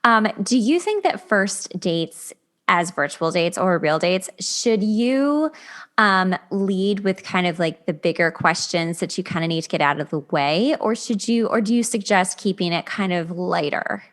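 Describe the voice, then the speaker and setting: A woman hosting a podcast in a casual, expressive way, with very informal phrasing. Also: on the low side, unhurried. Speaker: podcast host, interviewer. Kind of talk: casual chat.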